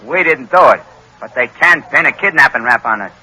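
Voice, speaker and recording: An actor playing an eager, slow-witted henchman in an old radio crime drama, with slangy, questioning talk. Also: medium pitch, lively intonation, bright, forceful tone, breathy edge, heavily acted, poor audio. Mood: wary, conspiring. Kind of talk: 1930s-1940s radio acting. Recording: old radio recording with faint noise.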